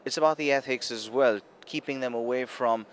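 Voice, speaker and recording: A man's voice: mid-range voice, fairly quick, slight background noise. A man interviewing a racing trainer, stumbling a little as he phrases his questions.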